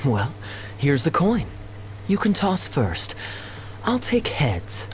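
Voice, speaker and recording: Smug, lively rich gambler. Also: male voice, a little high, slow, slight background noise, exaggerated voice. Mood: teasing, confident. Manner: nonchalant, teasing, condescending. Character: smug, carefree rich male gambler, breezily taunting. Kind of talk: video game voice acting.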